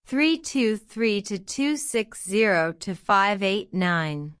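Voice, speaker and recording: Woman, practice-drill reader, repeats every line, unhurried, crisp and dry. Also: medium pitch, expressive, a bit bright, no echo. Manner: reads each line twice, slow and deliberate. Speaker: citizenship test practice narrator. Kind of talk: slow repeated question-and-answer drill.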